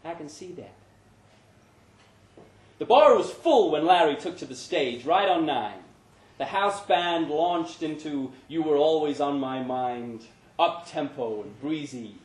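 A man's voice: medium pitch, a little mellow. A man narrating a short story dramatically at a live reading. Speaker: story reader. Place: indoor pub event. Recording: roomy live pub reading.

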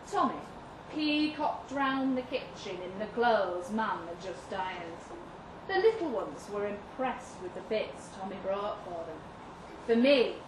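A woman reads literary fiction aloud at an unhurried pace, leaving deliberate pauses between phrases. Her voice sits a little high and she delivers the story expressively. The live recording is echoey, with clear background noise. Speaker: reader of a short story at a live literary event. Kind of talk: expressive literary story reading in first person. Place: live reading event in an indoor venue. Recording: roomy live venue, distant mic, noisy.

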